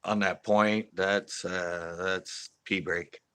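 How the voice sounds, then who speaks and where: A man's voice: low-pitched, little pitch movement, slow, bright, forceful tone, clean tone. A man hosting a fishing channel, chatting casually on a live stream, rambling with many fillers and restarts and laughing often.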